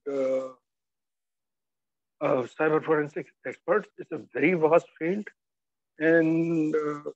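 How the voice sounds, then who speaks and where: A man with a bad cough and cold, giving a halting webinar introduction with many filler pauses. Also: on the high side, no room sound. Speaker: cyber forensics instructor. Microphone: video call. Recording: dull lo-fi video call audio.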